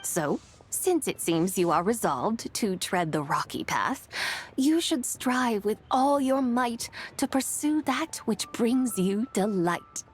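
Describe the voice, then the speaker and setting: A woman's voice: on the high side, expressive, slow, a little hiss or hum. A woman voicing a lofty ancient female immortal in a video game, speaking in grand, archaic, theatrical phrases as one amused by mortals. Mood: encouraging. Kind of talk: video game character acting.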